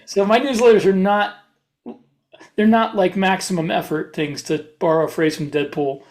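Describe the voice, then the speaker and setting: High-pitched man in casual podcast chat. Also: fairly even intonation, normal speed, darkish tone. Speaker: podcast co-host and writer.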